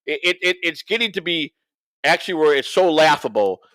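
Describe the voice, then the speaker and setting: A man's voice: a little high, animated, a little fast, a bit bright, dry recording. A man on a livestream, talking off the cuff in a profane, rambling way and repeating himself for emphasis. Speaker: livestream co-host. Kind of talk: unscripted livestream rant and banter.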